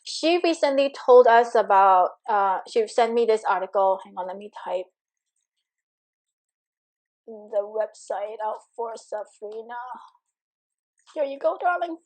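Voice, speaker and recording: Chatty Singaporean woman coach, higher voice. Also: lively intonation, unhurried, long pauses, a little mellow, poor audio. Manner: chatty and encouraging, with frequent fillers and laughs. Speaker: money coach. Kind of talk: live webinar, chatting and reading viewer comments.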